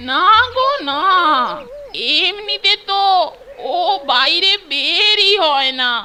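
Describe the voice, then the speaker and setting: A woman voicing an anxious old village grandmother in a cartoon, pleading in a slow, rustic way. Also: very high-pitched, animated, sharp tone, heavily acted. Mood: worried. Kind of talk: cartoon dub acting.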